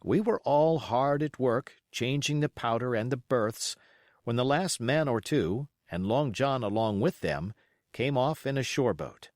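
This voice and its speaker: A man's voice: medium pitch, animated, unhurried, a little mellow, no echo, clean studio sound. A man narrating an adventure novel as an audiobook reader, telling the story expressively from a script.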